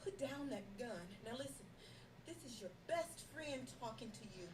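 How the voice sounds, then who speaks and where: A woman's voice: high-pitched, animated, normal speed, far from the mic, noisy background. A woman acting out women in a domestic drama, with an intense, emotional, theatrical delivery. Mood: urgent pleading. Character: abused wife and her pleading woman best friend. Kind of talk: live dramatic performance.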